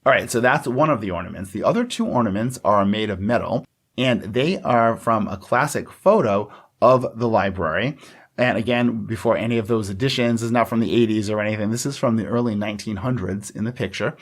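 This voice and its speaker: A man with a fairly low voice co-hosts a casual podcast. He talks in a chatty, explanatory manner and readily agrees with his conversation partner. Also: a little fast. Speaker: podcast co-host. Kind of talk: casual scripted-outline podcast chat.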